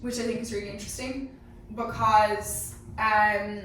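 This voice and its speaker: A woman's voice: mid-range voice, level tone, a little slow, fairly bright tone. Woman in a very echoey room. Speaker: panellist on a sports discussion show. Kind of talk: casual panel chat. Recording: very echoey room, distant mic, faint noise.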